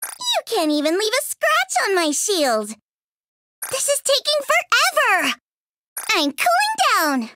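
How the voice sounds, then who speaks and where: A woman's voice: extremely high pitch, wildly swooping pitch, slow, heavy background noise, full character voice. A woman voicing a cocky young female android shield soldier in a game, taunting and teasing with frequent laughs. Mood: battle taunts. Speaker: voice actress. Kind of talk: game character voice lines. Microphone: studio mic.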